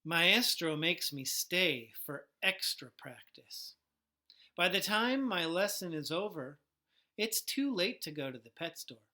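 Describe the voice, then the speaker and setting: Lady teacher doing storytime for little kids, kinda low voice, slow and animated, really bright. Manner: animated, acts out sounds, asks listeners questions. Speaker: teacher reading a picture book aloud. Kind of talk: expressive read-aloud for young children.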